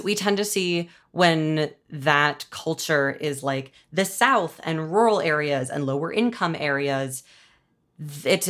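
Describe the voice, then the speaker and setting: A woman from the American South hosting a casual podcast chat, earnest and discursive as she thinks aloud. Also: low-pitched. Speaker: podcast host, audio drama showrunner.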